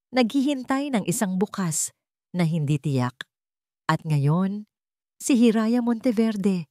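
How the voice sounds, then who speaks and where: A Filipino woman narrates a dramatic scripted story. Her voice sits on the low side and moves with animated, varied intonation. The sound is dry, with no room around it. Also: dry recording.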